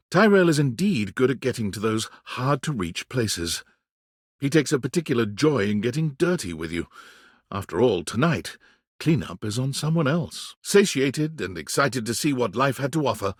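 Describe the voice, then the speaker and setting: A man giving scripted narration for a dating game, wry and deliberate, with dramatic pauses. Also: mid-range voice, slightly rough, dry recording, studio quality.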